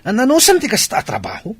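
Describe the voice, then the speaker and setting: A man playing a lazy, scheming married younger brother in a Filipino radio comedy-drama, with a husky voice and a Filipino accent. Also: very high-pitched, huge pitch swings, normal speed, soft background music, full character voice. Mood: brash, mocking.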